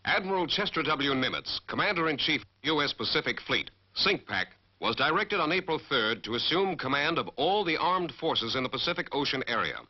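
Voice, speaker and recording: A man with an American accent giving formal, measured, scripted military narration as the narrator of a training film. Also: fairly high pitch, animated. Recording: dull 1940s film soundtrack.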